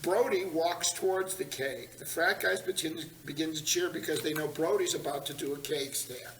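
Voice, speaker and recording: A man's voice: fairly high pitch, moderate pace, a bit bright. A man reading stage directions as narrator at a screenplay table read in a meeting room. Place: large meeting room, group around tables. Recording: echoey, distant, slightly noisy room.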